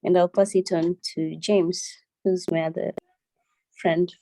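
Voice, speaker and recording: A woman speaks with a low, mellow voice. She introduces herself in a relaxed, giggly way over a dry, close video-call line. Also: unhurried, no echo. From Kenya. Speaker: social entrepreneur.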